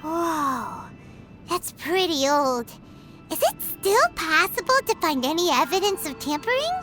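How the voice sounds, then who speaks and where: A woman voicing a small, curious, childlike companion in a video game, excitable and wide-eyed in delivery. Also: very high-pitched, unhurried, over music, heavily acted. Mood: amazed, curious. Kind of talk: video game story dialogue.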